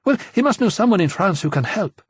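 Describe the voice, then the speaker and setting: A man reading an audiobook, voicing a quick, indignant young woman who talks rapidly and emphatically. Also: high-pitched, very animated, breathy edge, no room sound, voice pushed up, heavily acted. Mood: urgent.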